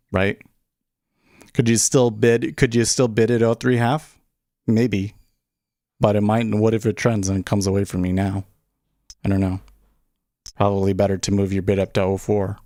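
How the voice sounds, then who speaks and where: Male livestream host, fairly deep voice, casual and conversational, thinks aloud, dry close sound. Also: a little mellow, dry recording. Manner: conversational, often checks in with "right?". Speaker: livestream host, day trader.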